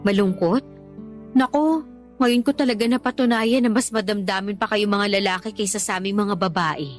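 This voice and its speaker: A woman's voice: medium pitch, normal speed, faint music behind, close to an everyday voice. A woman with a Filipino accent acting a defensive, clingy girlfriend and mother in a radio drama, argumentative and pleading. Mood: teasing, flippant.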